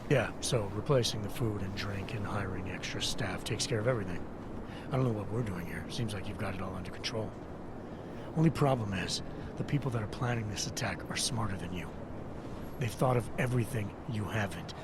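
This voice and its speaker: An actor playing a blunt, defiant counter-terrorism agent in a video game, terse and deadpan with a gravelly edge. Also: male voice, on the low side, fairly quick, heavy background noise, lightly acted. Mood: cool contempt. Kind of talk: cinematic video game voice acting.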